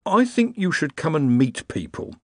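Man playing a fretful veteran wizard, fussing, coaxing, lively swooping voice. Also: on the high side, normal speed, no room sound, clean studio sound, pitched above the performer's own voice. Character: anxious, weary veteran male wizard.

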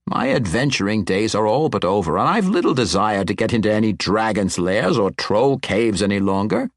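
A man voicing an eccentric, kindly old wizard in an audiobook, animated, rambling and full of exclamations. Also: lowish voice, normal speed, no echo, clean studio sound. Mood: eager, insistent.

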